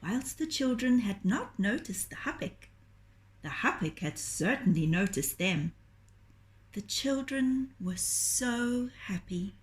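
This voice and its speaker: A woman reading a children's story aloud in a gentle, deliberate, expressive storytelling manner. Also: medium pitch. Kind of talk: children's storytelling, scripted narration.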